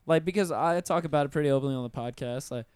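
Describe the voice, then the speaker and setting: A man hosts a podcast in a studio, with a smooth, resonant voice. He talks casually, rambling and hedging, often trailing off mid-thought. The recording is dry and close. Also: a little high, very fast, a little mellow, no echo. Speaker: podcast host. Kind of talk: casual interview chat.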